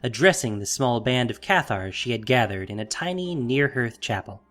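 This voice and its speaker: A man's voice: medium pitch, expressive, normal speed, a bit bright, soft background music. A man narrating a scripted fantasy story in a dramatic, solemn way.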